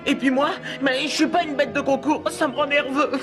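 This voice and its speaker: A woman's voice: high-pitched, fairly even intonation, brisk, over music, close to an everyday voice. A woman voicing a reluctant, anxious, good-natured schoolboy in a French cartoon dub, hesitant and whiny. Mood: upset, defensive.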